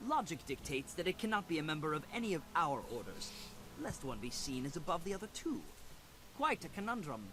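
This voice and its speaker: A man's voice: on the high side, big pitch swings, brisk. An actor playing a small, haughty male alchemist scholar in a video game, condescending and lecturing. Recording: game audio with background noise.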